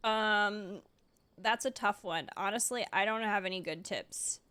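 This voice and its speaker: A woman's voice: mid-range voice, measured pace, pushed and bright, smooth. A woman streamer giving wry, chatty reactions while playing a story game. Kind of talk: casual reaction commentary.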